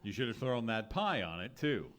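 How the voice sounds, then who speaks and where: A man's voice: mid-range voice, big pitch swings, normal speed, a bit bright. A man playing a grouchy, sleepy, sarcastic henpecked husband in an old-time radio comedy, grumbling exasperated, dry retorts. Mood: irritated. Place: live theatre stage performance.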